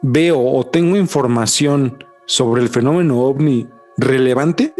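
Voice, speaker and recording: A man's voice: medium pitch, unhurried, darkish tone, faint music behind. A young man with a Mexican accent co-hosting a podcast, chatting casually with lots of slang and jokes. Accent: Mexican Spanish. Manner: slangy, joking, says "güey" often. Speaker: podcast co-host. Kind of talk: casual chat.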